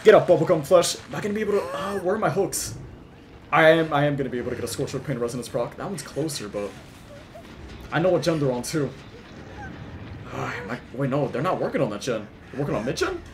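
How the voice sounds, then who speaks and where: A young man with a hoarse, worn voice pitched a little high, jumping between low mutters and sudden shouts as he plays. He swears freely. Game sounds run clearly underneath him. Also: animated, fast talker, background noise. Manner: swings from muttering to yelling, swears a lot. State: hoarse, losing his voice. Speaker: gaming YouTuber. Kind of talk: excited live gameplay commentary.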